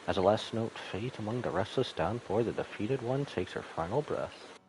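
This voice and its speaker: A man's voice: fairly low pitch, animated, moderate pace, background noise. A man streaming a game, reading story text aloud and commenting, sometimes stumbling and correcting himself. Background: game music.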